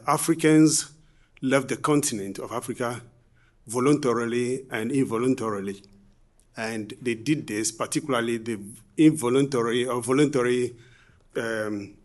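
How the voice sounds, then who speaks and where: A man from Ghana speaks at a history conference with a voice of middling pitch. He delivers his lecture in a measured way and often pauses on "uh" and "um". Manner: measured, with frequent uh and um fillers. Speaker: guest speaker. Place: conference hall.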